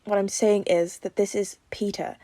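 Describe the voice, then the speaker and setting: Woman playing grown man, serious, calming, steady, audiobook dialogue, middling pitch. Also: moderate pace, no echo, close to an everyday voice. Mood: reassuring. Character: adult man, steady, guilt-heavy, reassuring.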